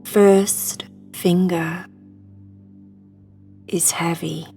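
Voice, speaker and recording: A woman guiding a sleep meditation in a hushed, soothing, breathy voice that often nears a whisper. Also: lowish voice, fairly even intonation, extremely slow, faint music behind. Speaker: meditation guide.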